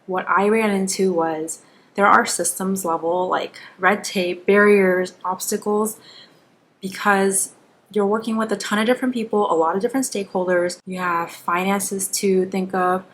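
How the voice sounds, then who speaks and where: A woman's voice: mid-range voice, steady tone, moderate pace, slight background noise. A young woman who grew up with Korean and English, talking casually and candidly as a school psychologist giving advice in a vlog. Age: young adult. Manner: informal, candid, self-deprecating, frequent "like". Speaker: school psychologist, video creator.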